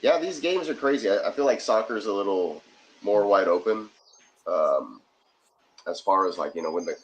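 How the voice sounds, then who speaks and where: A man co-hosting a casual sports watch-party livestream from his garage, chatty and quick to laugh. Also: fairly low pitch, lively intonation, a little hiss or hum, low-quality recording. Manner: laughs often, rambling and chatty. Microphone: phone.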